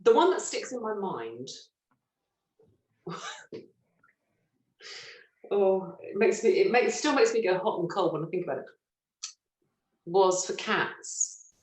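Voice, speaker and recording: A middle-aged woman from Britain, a stage actress telling lively, self-mocking stories in an interview and laughing often. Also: medium pitch, slow pace, long pauses. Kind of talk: relaxed anecdotal interview chat. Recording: roomy echo.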